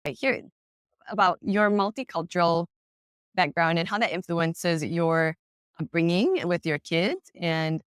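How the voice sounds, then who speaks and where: A woman's voice: lowish voice, a little slow, smooth, dry recording, studio quality. A woman hosting a podcast, affirming her guest and asking open, thoughtful questions. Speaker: podcast host. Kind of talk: interview host chat.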